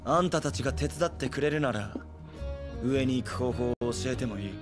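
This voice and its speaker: A man's voice: mid-range voice, brisk, lightly acted. An actor playing a terse, guarded young man bent on revenge in a game cutscene. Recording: game audio with music.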